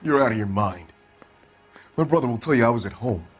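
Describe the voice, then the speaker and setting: An actor playing a husband under police questioning in a crime game, defensive and pleading. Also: male voice, medium pitch, expressive, brisk, breathy edge, soft background music.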